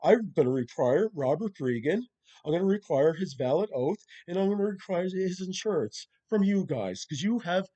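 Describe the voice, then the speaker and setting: A man's voice: sits high, lively intonation, normal speed, warm tone, rough recording. A man arguing as a caller in an insistent, repetitive and combative manner, full of legal jargon. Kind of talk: confrontational phone argument with legal jargon.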